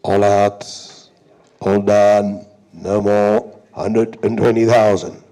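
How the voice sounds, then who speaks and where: A man's voice: fairly low pitch, level tone, takes it slowly, warm tone. A South African man working as a livestock auctioneer, with rhythmic, repetitive chant and showy, emphatic sales patter.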